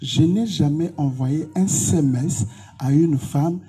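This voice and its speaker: Congolese male pastor, interviewed; very mellow, breathy-rough tone, declarative and insistent delivery. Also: fairly high pitch, slow pace, poor audio. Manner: emphatic, repetitive short declarations. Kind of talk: interview answers with preaching.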